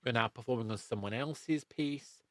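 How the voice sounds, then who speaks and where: A man's voice: medium pitch, normal speed, fairly bright tone, dry recording. A man hosting a casual interview in a conversational way, often interjecting. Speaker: podcast host, interviewer.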